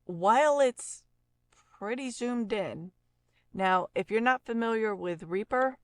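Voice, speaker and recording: Female software instructor, unhurried and plainspoken, casual step-by-step delivery, very dry close recording. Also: mid-range voice, clean tone, no room sound. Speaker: tutorial instructor and voice actor.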